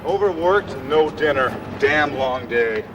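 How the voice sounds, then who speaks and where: A man's voice: mid-range voice, expressive, slow pace, music in the background, rough recording. An actor playing an overworked, tense businessman with a terse, grumbling way of talking. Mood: weary, grumbling. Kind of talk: acted medical dramatization.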